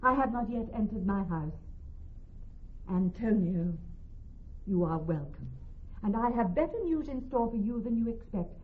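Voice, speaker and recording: Actress doing posh poised shakespeare lady, high swooping voice, bossy and witty, dull old radio sound. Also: animated, moderate pace, pauses often, a little hiss or hum, close to an everyday voice. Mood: poised assurance. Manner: poised, theatrical, articulate. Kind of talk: classical Shakespeare radio acting.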